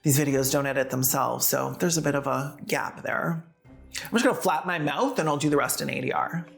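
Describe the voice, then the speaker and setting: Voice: medium pitch, fairly quick, gravelly, a bit bright, breathy edge, faint music behind. A video essayist and host delivering scripted satirical news commentary in a sarcastic, irreverent, casual manner.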